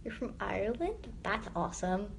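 A teenage girl chats loosely with viewers, giggling and drifting into soft, quiet asides. She is recorded up close on a phone's front camera in a small bright room. A faint hiss sits under her voice. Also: mid-range voice, big pitch swings, normal speed, creaky. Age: teens. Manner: chatty, giggly, lots of "like", trails into quiet asides. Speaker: live streamer answering viewer comments. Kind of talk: casual live stream chat. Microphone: phone front camera held close.

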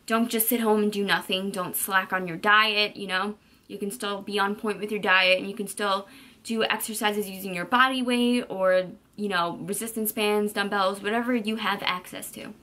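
A woman's voice: medium pitch, sharp tone. A woman in her twenties talking casually to the camera from her bedroom as a fitness vlogger, upbeat and chatty with fillers like um and you know. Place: bedroom at home, indoors.

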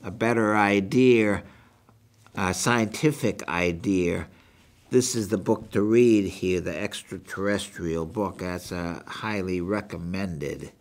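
Older man with a New England accent, halting. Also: deep voice. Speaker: show host. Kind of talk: rambling monologue book review. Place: small meeting room indoors.

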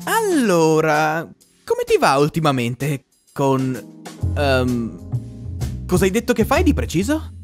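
Casting: male actor as nerdy, grumpy cartoon tiger, fussy, wildly animated intonation, music clearly underneath. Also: on the high side, slow pace, pauses often, full character voice. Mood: awkward. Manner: indignant, fussy, precise. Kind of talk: animated comedy dub.

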